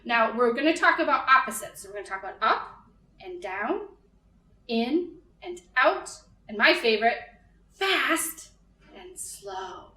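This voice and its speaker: Female storytime leader, high-pitched and very slow, animated and encouraging with small children. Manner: animated, sing-song, encouraging, simple short phrases for toddlers. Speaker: children's librarian leading storytime. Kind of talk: toddler storytime, singing-along instruction and read-aloud. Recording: echoey room.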